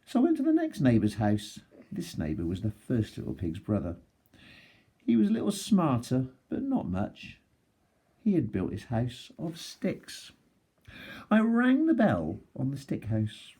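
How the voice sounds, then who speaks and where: A husky, mellow storybook wolf, acted out by a man reading aloud who pleads his own innocence. He is folksy and aggrieved, with pitch that swings in a lively, animated way. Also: unhurried, exaggerated voice. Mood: mock-innocent storytelling. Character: self-justifying, folksy wolf telling his side. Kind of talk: expressive children's storybook read-aloud.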